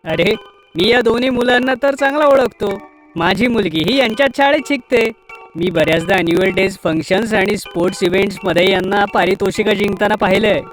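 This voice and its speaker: A woman's voice: medium pitch, brisk, lightly acted. A woman voicing a friendly male police officer in a children's story, official yet genial. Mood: pleasantly surprised. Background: music.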